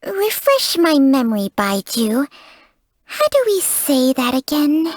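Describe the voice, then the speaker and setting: A woman's voice: high voice, very animated, slow, dry recording, studio quality, heavily acted. A woman voicing a small, imperious talking snake companion in a video game, blunt, haughty and impatient. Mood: pompous, pondering. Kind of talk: video game character voice lines.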